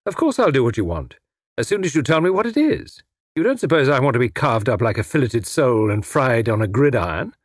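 A man's voice: medium pitch, big pitch swings, fairly quick, no echo, clean studio sound. A man voicing a cheerful, flippant young English detective, breezy and unruffled in a story. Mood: breezy, placating.

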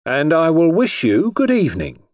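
A man voicing an earnest young man who plays the gallant gentleman rogue in an audiobook, with a mannered delivery. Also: on the high side, wildly swooping pitch, normal speed, no echo, studio quality, voice pushed up. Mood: ceremonious, gallant. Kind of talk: audiobook character voice.

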